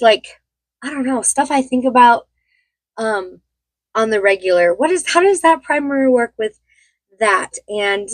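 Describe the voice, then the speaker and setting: A woman in her thirties with a slightly high voice that sounds hoarse from a cold. She chats in a loose, rambling way and laughs often as she gets ready. The close recording is dry, with no room sound. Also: a bit bright, dry recording, poor audio. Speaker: beauty vlogger. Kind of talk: casual get-ready-with-me chat.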